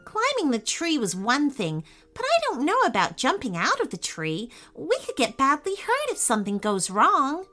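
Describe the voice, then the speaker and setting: A woman's voice: high voice, wildly swooping pitch, normal speed, few pauses, bright, forceful tone, soft background music, heavily acted. Wary young girl, hesitant; woman reader voicing her. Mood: cautious. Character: cautious sensible young girl.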